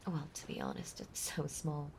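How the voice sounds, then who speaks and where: A woman playing a possessive, clingy mermaid in an intimate ASMR roleplay, speaking softly and breathily and lingering on her words. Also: sits low, huge pitch swings, normal speed, vocal fry, full character voice. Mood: sweetly possessive. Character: possessive, clingy yandere mermaid, sweetly obsessive young woman. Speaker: voice actress in a roleplay audio. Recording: faint background noise, water ambience. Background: ocean ambience sound effects.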